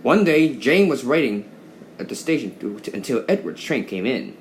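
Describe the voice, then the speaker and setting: A man reads a children's story aloud in a highly animated voice. He stumbles over words and repeats them as he goes, and there is noticeable noise in the background. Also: normal speed. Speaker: storybook narrator. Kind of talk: children's read-along narration.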